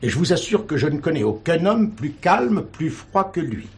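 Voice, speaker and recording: An actor playing a courteous, middle-aged prison chaplain in a radio drama, speaking formally and earnestly. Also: male voice, fairly high pitch, very animated, moderate pace, darkish tone. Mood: insistent. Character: earnest prison chaplain, a devout pleading man. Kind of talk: 1970s French radio drama acting.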